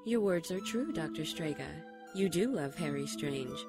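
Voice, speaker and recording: A woman's voice: deep voice, animated, moderate pace. A woman playing a powerful, imperious archangel in a radio drama, solemn and cryptic in manner. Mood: commanding, menacing. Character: powerful, imperious female archangel speaking in warnings. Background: music.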